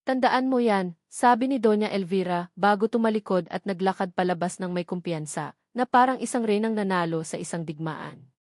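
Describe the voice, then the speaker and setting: A woman's voice: medium pitch, a little fast, a little mellow, no echo, clean studio sound. A woman reading scripted dramatic narration of a romantic story.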